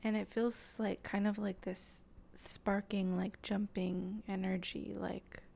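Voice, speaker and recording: A woman leading an improvised guided meditation in a soft, breathy voice that often slips toward a whisper, speaking gently and haltingly with long pauses. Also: medium pitch, little pitch movement, slight background noise. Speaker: guided meditation host and channeler.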